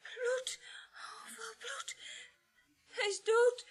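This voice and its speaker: An actress playing a dazed, terrified wife in a radio play, crying hysterically. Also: female voice, very high voice, steady tone, slow pace, faint music behind, exaggerated voice. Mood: hysterical, sobbing. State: crying, sobbing. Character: hysterical, dazed, frightened married woman.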